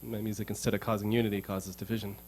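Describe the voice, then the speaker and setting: Male guitarist from the States, lowish voice, earnest, loose rambling storyteller. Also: flat delivery, rapid-fire, darkish tone, slight background noise. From United States. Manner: rambling and earnest, repeats words as he thinks. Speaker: guitarist performing for an audience. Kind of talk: casual storytelling between songs. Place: music showroom.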